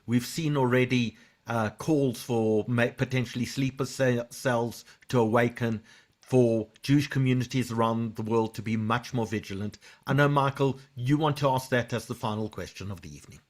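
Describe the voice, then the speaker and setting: A man acting as moderator, smoothly introducing the final audience question. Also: medium pitch, fairly even intonation, normal speed.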